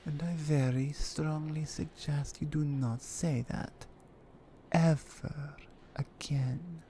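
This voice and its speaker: Slow, creaky Russian mafia villainess acted by a woman. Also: sits low, dark tone, a little hiss or hum, full character voice. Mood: menacing warning. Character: menacing, seductive Russian mafia woman holding a hostage. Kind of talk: slow, intimate ASMR roleplay acting.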